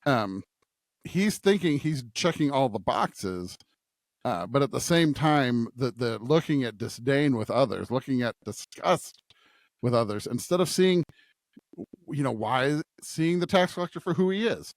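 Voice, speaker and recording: A man's voice: medium pitch, animated, unhurried, dry recording. Man podcast host thinking aloud, close dry sound. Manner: thinks aloud, often tags points with "right?". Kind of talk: conversational discussion of scripture.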